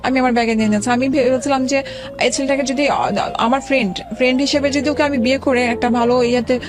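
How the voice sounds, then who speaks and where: A woman in her forties, a guest telling her own life story in an interview, speaking haltingly with many pauses and restarts. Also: high voice, steady tone. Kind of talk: emotional personal account in an interview. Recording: background music under the talk.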